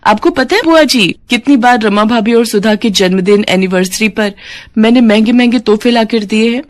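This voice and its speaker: A woman's voice: on the high side, moderate pace, natural voice. A woman voicing a proud working daughter-in-law, indignant and childishly argumentative, in a family story. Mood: offended.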